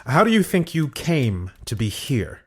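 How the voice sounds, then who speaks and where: A man playing an uneasy, formal, calculating inspector in an audio drama, officious and halting as he questions a prisoner. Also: medium pitch, big pitch swings, slightly rough. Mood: probing.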